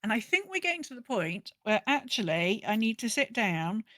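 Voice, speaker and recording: Woman, high-ish bright voice, close dry sound, casual podcast chat, animated rambling storyteller. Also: moderate pace. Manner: animated, rambling storyteller who acts out her own thoughts. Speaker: podcast co-host. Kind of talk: casual chat about gaming.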